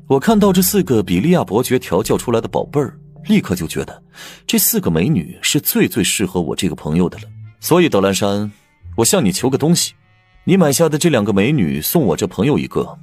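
A man's voice: lowish voice, big pitch swings, brisk, darkish tone, breathy edge, no room sound. A man playing a suave, sly, teasing aristocrat in an audio drama, talking playfully. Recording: dry, music behind the voice.

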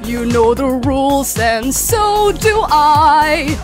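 A woman's voice: high-pitched, fairly even intonation, slow pace, music in the background. A woman streamer singing karaoke along with a backing track, loosely and fumbling some words.

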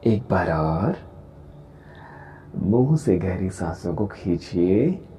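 A man leading a guided meditation in a calm, gentle, deliberate way, with long pauses between phrases. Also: deep voice, a little hiss or hum. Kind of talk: guided meditation, slow soothing instruction. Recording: voice over background music. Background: meditation music.

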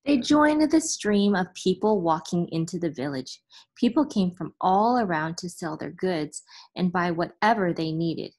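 A woman in her thirties reading a picture book aloud in a storytelling style, with a slightly husky voice. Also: on the low side, animated, a little slow, a little mellow. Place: small room at home, on a sofa.

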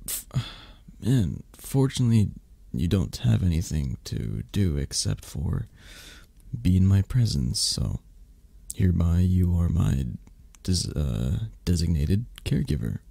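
Sick, sulky mafia boss played by a man for ASMR romance: raspy, swooping intonation, close dry sound, plaintive and possessive. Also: low voice, sing-song, no room sound, heavily acted. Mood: weary complaining. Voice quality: soft, breathy, raspy. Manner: halting, sighing, plaintive. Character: sick, grumbling, needy male mafia boss, possessive lover. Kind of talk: ASMR romance roleplay acting.

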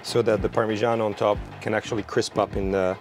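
A young man cooking outdoors at a barbecue, relaxed and explaining each step as he works. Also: lowish voice, fast, over music. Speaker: cooking show chef. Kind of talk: cooking demonstration. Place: outdoor garden by a barbecue grill.

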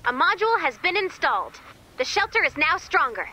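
A woman voicing an excitable, scatterbrained female robot assistant in a video game, babbling cheerfully and awkwardly. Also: extremely high pitch, brisk, slight background noise, heavily acted. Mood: informative, chipper. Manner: chirpy, babbling, repeats words, awkward. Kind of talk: video game character dialogue.

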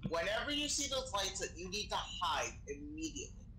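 A man's voice: high voice, big pitch swings, brisk, sharp tone. A man improvising in character over game voice chat, explaining things in a casual, sweary way. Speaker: role-play gamer playing a crew member. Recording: noisy gaming voice chat.

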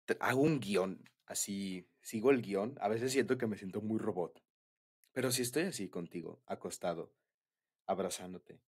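A man's voice: on the low side, breathy edge. A young man with a Mexican accent, chatting casually and intimately as a boyfriend in an ASMR roleplay, rambling in giggly, slangy speech. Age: young adult.

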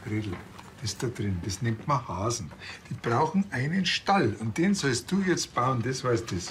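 An actor playing an earnest, officious small-town police officer who explains things formally in a Bavarian accent. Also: male voice, mid-range voice, animated, moderate pace, a bit bright, slight background noise, natural voice.

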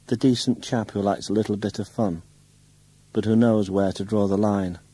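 A man reading a novel aloud, putting on the voice of a young woman who speaks with low, controlled contempt and turns to sharp accusation. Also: slightly rough, dry recording, low-quality recording. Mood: scornful. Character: bitter scornful young woman lashing out.

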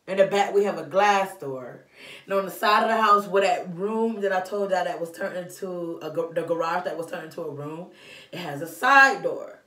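A young woman telling a story in a vlog, sassy and animated, filmed by a camera in a small room at home. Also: mid-range voice, roomy sound, far from the mic. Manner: animated and sassy, waving her arms. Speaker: vlogger. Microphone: camera in front of her.

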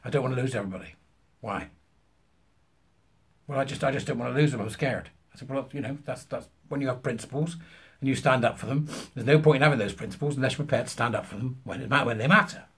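A British man in his fifties telling an anecdote to camera, emphatically acting out both sides of a conversation in a husky voice. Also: medium pitch, fast talker. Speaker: vlogger. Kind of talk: animated anecdote told to camera. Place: small furnished room at home, indoors.

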